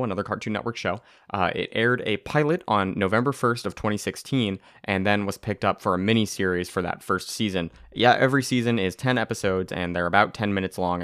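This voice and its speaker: A young man hosting a casual podcast, talking excitedly and rambling, with a tired voice. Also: fairly low pitch, dry recording, studio quality. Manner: excitable, rambling, swears, laughs often. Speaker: podcast host. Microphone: close to the mic.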